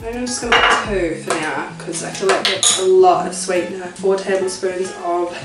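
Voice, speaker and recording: A woman's voice: fairly low pitch, steady tone, moderate pace, recorded from a distance, over loud music. Chatty New Zealand woman in a roomy kitchen. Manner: chatty, self-mocking asides to viewers. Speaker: vlogger. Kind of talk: casual cooking vlog. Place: home kitchen.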